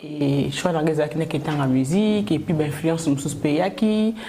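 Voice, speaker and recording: A young woman from Kinshasa, a singer interviewed as guest, speaking in a hesitant, halting way. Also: deep voice, a crowd in the background. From Congo (Kinshasa). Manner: hesitant, many pauses and laughs. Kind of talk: casual interview.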